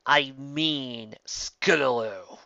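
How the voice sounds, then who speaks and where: A man's voice: medium pitch, extremely slow, creaky, sharp tone, no echo, highs cut off, exaggerated voice. A man voicing a defiant young pegasus mare in a story reading, demanding and challenging. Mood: angry, defiant.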